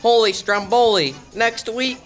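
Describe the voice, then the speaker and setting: Young-sounding man playing a sharp, blustering, stressed mob boss. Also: high-pitched, measured pace, faint music behind. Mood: dismayed. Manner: exasperated, melodramatic mobster talk. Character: stressed, blustering male mob boss secretly running a pizzeria. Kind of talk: comedic audio drama acting.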